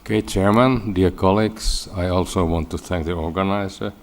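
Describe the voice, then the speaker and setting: Finnish guy w accent giving a medical conference talk, low voice, halting and repeats words a lot. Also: fairly even intonation, a little mellow. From Finland. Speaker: medical researcher giving a lecture. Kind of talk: scientific conference presentation.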